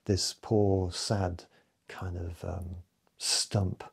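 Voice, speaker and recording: A man of 57 giving a recorded talk to camera at home, reflective and hesitant, with many fillers, often trailing off softly. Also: low voice, level tone, drawn-out words, creaky, slightly rough. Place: home room with bookshelves, indoors.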